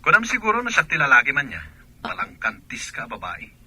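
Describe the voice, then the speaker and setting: A jealous, hot-tempered soldier with an Ilocano Filipino accent. His voice is very sharp and forceful, with a husky edge, in radio drama style. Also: medium pitch, huge pitch swings, a little fast, full character voice. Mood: angry, accusing. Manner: forceful, accusing. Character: jealous hot-tempered soldier, accusing husband and doting son.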